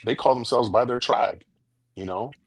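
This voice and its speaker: A man's voice: mid-range voice, moderate pace, slightly rough, no echo, clean studio sound. A man from the United States hosting a casual discussion, making his points emphatically and often repeating words as he builds them. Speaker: podcast host.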